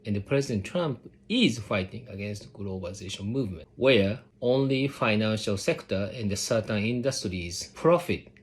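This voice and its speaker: A middle-aged man with a Japanese accent, a native Japanese speaker, talking earnestly and directly to his viewers as a channel host, measured and emphatic, repeating his key points. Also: lowish voice. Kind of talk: earnest direct-to-camera address.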